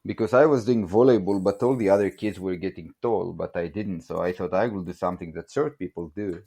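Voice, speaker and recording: A man's voice: deep voice, brisk, gravelly, warm tone, husky. A man in his forties from Greece with a Greek accent, chatting as a podcast guest, joking and laughing a lot and saying "you know" often. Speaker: guest, barbell company production manager. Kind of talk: casual podcast storytelling.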